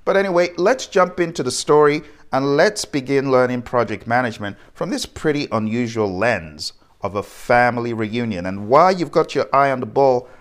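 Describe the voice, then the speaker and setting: A male instructor with a mid-pitched voice delivers an informal lesson. He addresses the listener directly, poses rhetorical questions and sets out his points one by one with emphasis. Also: expressive, normal speed, few pauses. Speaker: project management instructor. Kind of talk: informal educational lecture.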